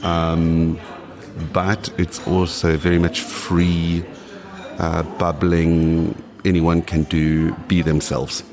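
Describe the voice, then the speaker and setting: Guest spot: deep, gravelly South African man nearing fifty, meandering talk, crowd murmur behind. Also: level tone, slow. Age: 40s. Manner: rambling, many restarts. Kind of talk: casual interview.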